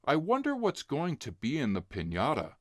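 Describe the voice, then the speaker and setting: A man's voice: medium pitch, normal speed, no echo, studio quality. A man voicing an enthusiastic young boy, exclaiming eagerly in a children's storybook. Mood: excited, eager. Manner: excitable, exclaiming. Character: enthusiastic, wide-eyed young boy, a little brother.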